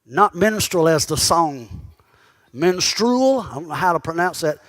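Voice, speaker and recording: A man's voice: fairly high pitch, lively intonation, a little slow, fairly bright tone. A male pastor giving a sermon in a conversational style, calling out to his congregation and asking them pointed questions. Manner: conversational preaching that calls out to the congregation; asks the listeners pointed questions. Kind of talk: sermon teaching.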